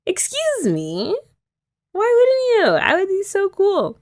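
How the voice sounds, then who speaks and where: A woman's voice: extremely high pitch, wildly swooping pitch, takes it slowly. A young woman doing girlfriend roleplay ASMR, chatty and teasing with rambling asides. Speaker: ASMR creator.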